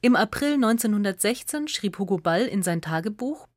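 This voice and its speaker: A woman narrates a scripted essay for radio in a medium-pitched voice. Her reading is measured and articulate, recorded in a very dry studio with little room sound. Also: lively intonation, no room sound.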